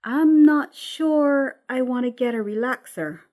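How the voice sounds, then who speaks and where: A woman's voice: high-pitched, a little slow, a little mellow, pitched above the performer's own voice. A woman voicing a timid twenty-nine-year-old daughter in a script reading, defensive, hesitant and exasperated.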